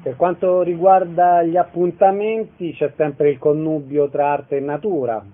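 A man's voice: fairly high pitch, level tone, measured pace. A man puts questions to a guest as a radio interviewer. The sound is muffled and lo-fi.

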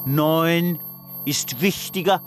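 An actor playing an obsessive, stubborn character in a literary radio drama, speaking in halting, insistent fragments. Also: medium pitch, soft background music. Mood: insistent, deliberate.

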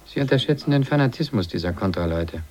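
An actor playing a calculating, persuasive media executive in a radio drama, measured yet insistent. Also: male voice, mid-range voice, expressive, a little mellow, a little hiss or hum, close to an everyday voice. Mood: measured, analytical. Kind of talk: 1980s German radio drama acting.

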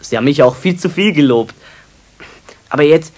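An actor playing an eager, good-natured young carpenter's helper in a children's radio play, chatty and polite, with a Bavarian accent. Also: male voice, on the high side, lively intonation, normal speed, close to an everyday voice. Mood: amused.

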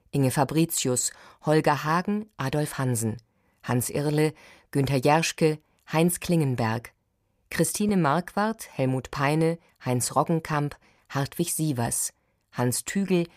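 A woman radio announcer reading a formal, measured scripted announcement of titles and credits. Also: low voice, no room sound, clean studio sound.

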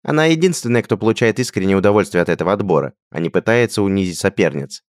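A man voicing a cheerful, teasing young male hunter who mocks his friend in a higher, lively voice. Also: fast, no echo, clean studio sound, lightly acted. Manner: mocking, playful. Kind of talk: audiobook character voice.

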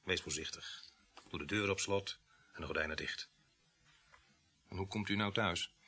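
A man acting in a Dutch radio drama as a harried, earnest village police sergeant who talks briskly and repeats himself when flustered. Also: low voice, sharp tone, husky, exaggerated voice. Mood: subdued, serious.

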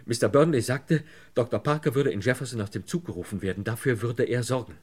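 An actor playing a desperate, broken man under police questioning in a radio crime play, halting and pleading. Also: mid-range voice, a little mellow, lightly acted. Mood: anxious, confessing. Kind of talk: German radio crime play acting, 1950s to 80s.

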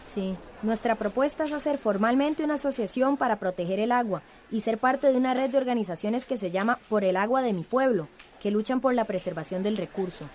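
A woman plays an earnest, cautious young activist in a radio drama. Her voice is high, with a Central American accent, and some ambient noise sits behind it. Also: a little fast, few pauses, a little mellow, natural voice.

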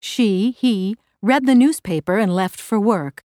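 A woman narrating a language course from a script, with clear instructional enunciation. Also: mid-range voice, takes it slowly, warm tone, no room sound, studio quality. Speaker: language course narrator giving English titles and translations.

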